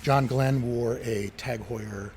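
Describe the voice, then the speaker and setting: A middle-aged man speaks at an ordinary pitch in relaxed, anecdotal conversation. He is an enthusiastic storyteller who voices the quotes in his tales. Faint background noise sits behind him. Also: slow. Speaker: interview guest, retired watch collector.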